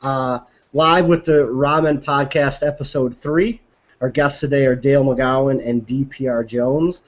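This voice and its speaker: A man with a medium-pitched voice hosts an online podcast, presenting in a casual, conversational way. The recording is a little dull and lo-fi. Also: fairly even intonation, measured pace. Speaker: podcast host. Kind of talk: casual show introduction.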